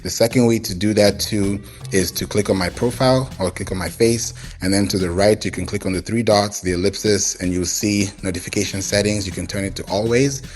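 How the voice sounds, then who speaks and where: Deep-voiced male live audio room host. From Kenya. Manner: welcoming, thanks listeners often.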